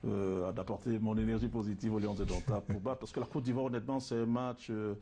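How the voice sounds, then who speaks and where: A man from Cameroon giving football predictions in relaxed studio talk, hesitant and full of fillers and asides. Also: fairly low pitch, quick pace, slight background noise. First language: a Cameroonian language. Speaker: football pundit.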